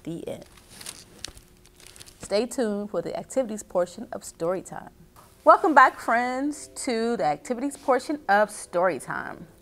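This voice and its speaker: A middle-aged woman reading and explaining to children as a story time host, expressive and speaking directly to her young listeners. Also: a little high, unhurried. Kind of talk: children's read-aloud and instruction. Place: small indoor reading nook with bookshelves.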